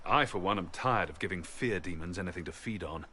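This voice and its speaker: An actor playing a battle-worn, sardonic heroic champion in a video game. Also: male voice, low voice, fairly quick, pushed and bright, a little hiss or hum. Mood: wry, weary.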